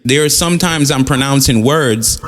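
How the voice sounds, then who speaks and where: A man's voice: medium pitch, normal speed. Man with a reverb-laden voice. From the Caribbean. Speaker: podcast host. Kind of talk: casual interview chat.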